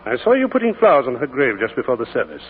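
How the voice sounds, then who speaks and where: A gentle, earnest vicar. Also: male voice, medium pitch, huge pitch swings, fast. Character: kindly middle-aged male vicar. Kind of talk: 1940s radio acting. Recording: tinny old radio broadcast with faint hiss.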